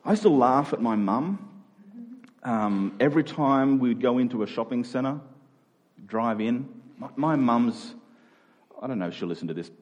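A man's voice: medium pitch, expressive, normal speed, pauses often, a little mellow. A man preaching a conversational sermon, earnest, repeating key phrases for emphasis.

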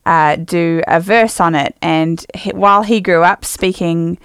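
A chatty woman hosts a podcast. Her voice is smooth, crisp and slightly low, and it is recorded dry and close with no room sound. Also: no echo. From New Zealand. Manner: chatty, quick to laugh. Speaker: podcast host. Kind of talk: casual interview chat.

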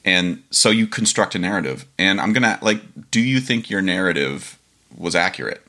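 A man's voice: sits low, moderate pace. A man in his thirties hosting a podcast, telling stories animatedly, laughing often and acting out what people said. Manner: animated storyteller, laughs often, acts out quotes. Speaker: podcast host. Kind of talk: casual interview chat.

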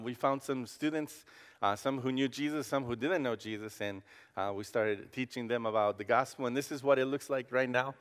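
A man's voice: medium pitch, fairly bright tone, big-room sound. A man in his thirties from Costa Rica with a Costa Rican accent, preaching as a guest campus pastor in a casual, joking way with frequent hesitations. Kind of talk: casual sermon. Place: church sanctuary.

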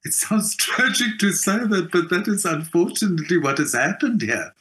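Emphatic South African man, bright voice. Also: sits high, big pitch swings, normal speed. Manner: emphatic, opinionated. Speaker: interview guest, theater actor and singer. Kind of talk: conversational interview.

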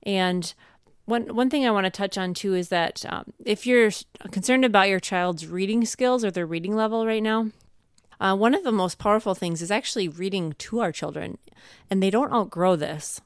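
A woman's voice: medium pitch, normal speed, smooth, no echo, studio quality. A middle-aged woman talking casually and encouragingly as a parent sharing homeschooling advice. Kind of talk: casual conversational advice talk.

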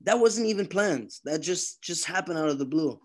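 A young man who grew up in Nigeria, talking casually as an interview guest, animated and often restarting his sentences. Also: fairly high pitch, normal speed, slight background noise. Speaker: guest musician.

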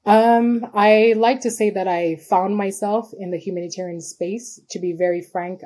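A woman from Cameroon speaks in a husky, mellow, lowish voice. She talks about her work thoughtfully, pausing often and restarting her sentences as she reaches for words. Her delivery is measured and conversational. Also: rough recording. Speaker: guest, humanitarian worker. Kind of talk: conversational interview.

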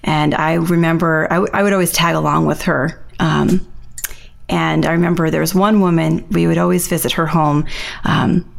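Woman, low voice, gravelly fry, hesitant, many ums, personal interview story. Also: steady tone. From New York, USA. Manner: hesitant, with frequent ums and pauses. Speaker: interview guest.

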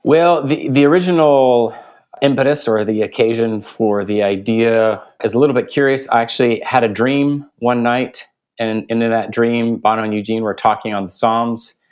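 A man's voice: mid-range voice, normal speed, darkish tone, clear, resonant tone. A middle-aged man from Guatemala, a theology professor, talking thoughtfully about his life in an interview. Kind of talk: interview conversation.

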